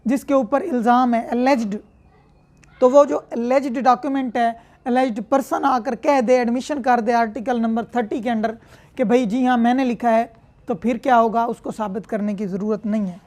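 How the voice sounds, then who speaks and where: Female law lecturer, Pakistani accent, high-pitched voice, didactic explanatory delivery with repeated points. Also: steady tone, moderate pace, a little mellow. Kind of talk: explanatory lecture mixing read-out statute with commentary.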